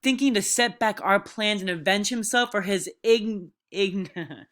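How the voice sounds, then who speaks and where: A woman voicing a commanding, angry boar who makes loud, vengeful speeches to farm animals in a storybook read-aloud. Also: mid-range voice, a little slow, voice pushed up, close to an everyday voice. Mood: furious, vengeful.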